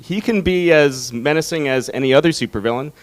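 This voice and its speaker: A man's voice: fairly high pitch, brisk. Man conducting a conversational interview. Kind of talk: conversational on-camera press interview. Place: media room at a fan convention.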